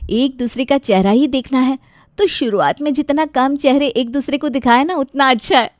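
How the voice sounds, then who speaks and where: Woman doing a chatty young bride in a cartoon family tale, really high voice, teasing and playful, dry sound. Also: normal speed, dry recording, natural voice. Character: cheeky, chatty young bride who fusses over her in-laws. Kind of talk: animated Hindi family story dialogue.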